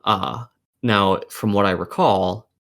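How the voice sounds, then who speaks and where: A man with a fairly low voice talks on a casual gaming podcast. He rants with exasperated emphasis and swears freely as he lays out his opinions. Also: steady tone, takes it slowly, fairly bright tone. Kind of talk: heated opinion rant in a casual group chat. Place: remote voice call over the internet.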